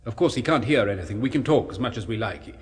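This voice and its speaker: A man's voice: lowish voice, animated, quick pace, long reverb tail, lightly acted. An actor playing an apprehensive doctor in a 1970s radio drama, soothing and clinical as he explains, with some hesitation. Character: apprehensive male doctor, clinical yet solicitous experimenter.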